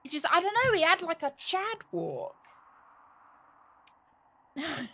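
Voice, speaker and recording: Animated woman streaming a game. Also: very high voice, takes it slowly. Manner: playful, exclamatory. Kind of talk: casual live gameplay commentary, thinking aloud.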